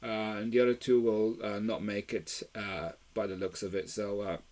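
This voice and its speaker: A man's voice: fairly low pitch, moderate pace, a little mellow. A man from Belgium hosting a live stream about toy deals, talking casually with plenty of fillers and restarts. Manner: chatty, many fillers and restarts.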